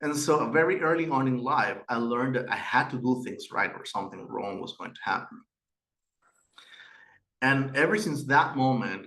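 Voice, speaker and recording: A man's voice: medium pitch, fairly bright tone, rough recording. A middle-aged man from Peru with a Peruvian Spanish accent, hosting a webinar and telling personal stories earnestly, with fillers and restarts. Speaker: webinar host and institute director. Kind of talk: webinar presentation.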